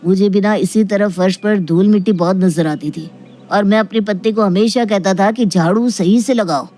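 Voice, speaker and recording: Casting: performer as fussy male doctor in a comic story, mellow dark tone, plaintive and confiding. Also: medium pitch, moderate pace, soft background music, close to an everyday voice. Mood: exasperated, confiding. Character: fussy male doctor obsessed with mopping floors, exasperated.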